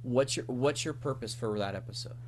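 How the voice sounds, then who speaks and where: A man's voice: mid-range voice, brisk. A man hosting an interview podcast, asking casual questions in a conversational, inquisitive way.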